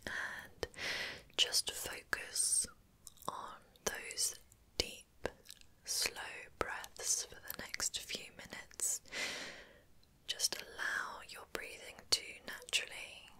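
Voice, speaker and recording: Very slow whispering ASMR woman. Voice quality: soft breathy whisper. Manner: soothing, gentle, unhurried with repeated words. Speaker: ASMR host guiding relaxation. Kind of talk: ASMR guided relaxation.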